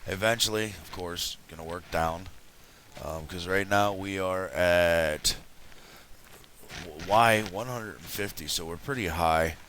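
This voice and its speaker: Deep raspy guy, just woke up, chatty rambling game commentary, bright tone, bit of hiss. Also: unhurried. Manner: rambling, chatty, self-correcting. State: just woken up. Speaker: let's play host. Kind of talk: casual gameplay commentary.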